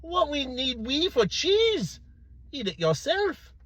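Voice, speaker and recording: A man voicing a cheeky, blunt pilgrim in a folktale, exclaiming loudly. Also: huge pitch swings, takes it slowly, no room sound, full character voice. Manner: loud, high, exclaiming.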